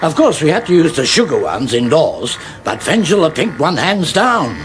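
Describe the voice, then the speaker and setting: An actor playing a chummy, fussy old wizard and loyal friend in a comic fantasy radio drama, genial and fretful. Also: male voice, a little high, big pitch swings, moderate pace, over music. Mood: chummy, chatty.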